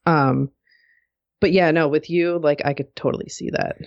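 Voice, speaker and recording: A woman co-hosting a podcast, with a very low voice and a slightly dull, muffled sound. She speaks agreeably and reflectively, with plenty of fillers. The audio is dry and close. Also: steady tone, moderate pace, dry recording. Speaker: podcast co-host. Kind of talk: casual conversational chat.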